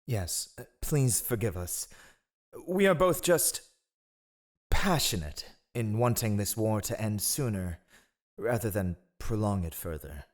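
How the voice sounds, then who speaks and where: A man's voice: lowish voice, animated, slow. A man playing a scheming, haughty royal spy master in a fantasy audio roleplay, with sardonic, theatrical disdain. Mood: conciliatory.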